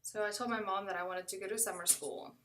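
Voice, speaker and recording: American woman, bright forward voice, conversational first-person storyteller, recorded a little away from the mic. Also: medium pitch, fairly even intonation, fast, distant mic.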